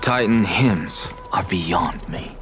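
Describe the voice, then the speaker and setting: An actor playing an aloof, dying, skeptical scholar in a video game, speaking in a dry, sardonic and measured way. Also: male voice, deep voice, lively intonation. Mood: reserved. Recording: background music.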